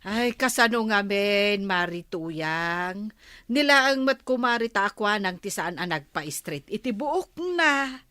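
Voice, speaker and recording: An actress playing a vain, bossy middle-aged village wife in an audio drama, scolding in drawn-out phrases with an Ilocano Filipino accent. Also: female voice, mid-range voice, lively intonation, sharp tone, clear, resonant tone, dry recording, clean studio sound. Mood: defensive.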